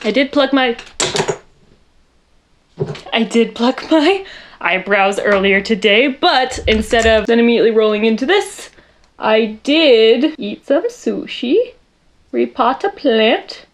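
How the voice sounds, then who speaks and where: A young woman with a loud voice chatting casually in her bedroom while doing a makeup tutorial, rambling and poking fun at herself. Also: a little high, lively intonation, slow, a little hiss or hum. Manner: chatty, rambling, self-deprecating, talks to viewers while working. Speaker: makeup vlogger.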